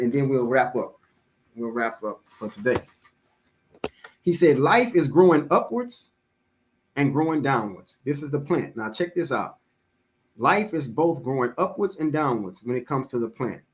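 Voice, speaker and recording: A middle-aged man giving a religious public address as an imam, speaking deliberately and repeating key phrases for emphasis. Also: mid-range voice.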